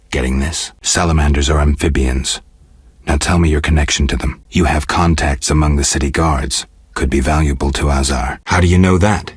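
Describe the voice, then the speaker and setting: Very deep, raspy monster hunter. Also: male voice, no room sound, studio quality, full character voice. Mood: contemptuous, stern, then probing, dry. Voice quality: gravelly, husky. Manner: terse, deadpan, restrained. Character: stoic, weary monster-hunting witcher, adult man. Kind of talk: video game character dialogue.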